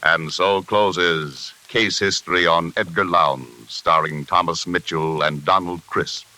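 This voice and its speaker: A man's voice: sits low, fairly even intonation, unhurried, noisy background. An American man announcing formally and solemnly on 1940s radio. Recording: old 1940s radio broadcast recording.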